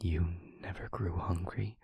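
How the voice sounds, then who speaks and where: A man playing a weary demon in an intimate audio roleplay, speaking earnestly and haltingly in a breathy half-whisper. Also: sits low, steady tone, no room sound, highs cut off, full character voice. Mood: tender, careful. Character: weary male demon confiding a painful truth. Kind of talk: scripted audio roleplay, intimate monologue to listener. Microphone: close microphone, dry.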